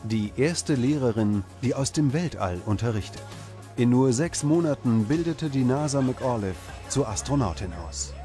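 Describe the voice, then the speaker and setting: A man reading scripted documentary narration in a measured, dramatic manner. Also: medium pitch, expressive, warm tone. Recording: music under the voice. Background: background music.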